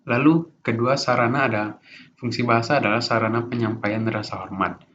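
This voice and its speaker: A young man giving an informal class presentation as a student, halting often with restarts and fillers. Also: medium pitch, fairly even intonation, fairly bright tone. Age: young adult. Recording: dull lo-fi recording with faint noise.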